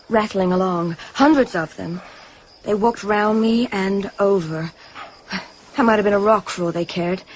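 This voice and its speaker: A woman's voice: medium pitch, a little hiss or hum, lightly acted, poor audio. An actress playing a plucky, affectionate young woman, the companion of a spy, in a radio drama.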